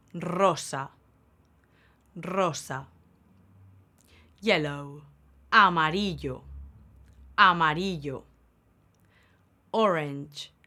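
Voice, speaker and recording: Lady teacher going really slow, bright voice, repeats every word. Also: lowish voice. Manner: says each word slowly and repeats it for learners. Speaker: Spanish teacher, channel host. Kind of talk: slow, clear beginner language lesson.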